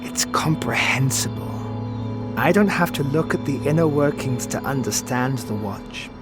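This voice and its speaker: An actor as a suave, theatrical master thief. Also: male voice, medium pitch, expressive, unhurried, close to an everyday voice. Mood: wistful, musing. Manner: theatrical, florid, pompous phrasing. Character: suave, sardonic older male master thief, wistful mentor. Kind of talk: audio drama acting. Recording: music behind the voice.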